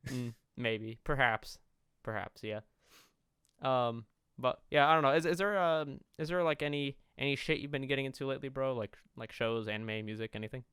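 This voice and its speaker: A man's voice: mid-range voice, steady tone, fairly bright tone, clean tone, dry recording, studio quality. A man in his early twenties co-hosting a podcast, chatting casually and swearing freely. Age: 20s. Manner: quick, swears, laughs a lot. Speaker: podcast co-host. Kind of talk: casual chat.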